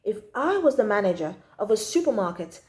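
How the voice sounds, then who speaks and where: A woman voicing an excitable, indignant little girl from a storybook, with emphatic, exaggerated phrasing. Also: medium pitch, brisk, close to an everyday voice. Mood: indignant, exasperated. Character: excitable, indignant little girl telling her own troubles. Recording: echoey room.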